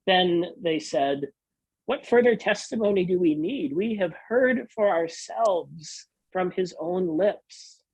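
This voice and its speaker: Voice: medium pitch, expressive, slow, darkish tone, husky, dry recording, poor audio. A pastor giving a dramatic scripture reading for a worship service.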